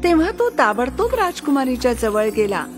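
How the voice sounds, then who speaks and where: A woman's voice: sits high, very animated, moderate pace, faint music behind. A woman narrator reading a scripted fairy tale for children.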